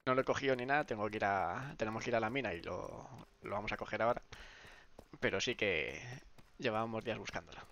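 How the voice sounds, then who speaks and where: A man's voice: animated, fairly quick, a bit bright, slight background noise. Congested man streaming a game. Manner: rambling, many restarts, low mumbled asides. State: congested, sore throat. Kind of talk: casual live-stream chat.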